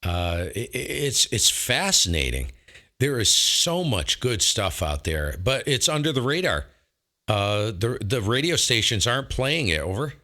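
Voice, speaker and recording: A man's voice: mid-range voice, big pitch swings, unhurried, pushed and bright. A man chatting casually over ham radio in an enthusiastic, emphatic way.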